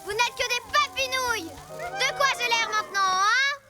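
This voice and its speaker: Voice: extremely high pitch, normal speed. A performer voicing a bossy, quarrelsome child in a children's cartoon, emphatic and taunting. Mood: scornful.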